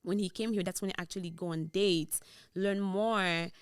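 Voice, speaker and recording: A young woman with a Haitian Creole accent talks through her story on a podcast, switching into Creole now and then. Her voice sits a little low and sounds smooth and clear. The studio mic is close and dry. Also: normal speed, dry recording. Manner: switches into Creole mid-sentence, relaxed storytelling. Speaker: podcast guest. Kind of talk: casual interview chat. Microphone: boom-mounted studio mic.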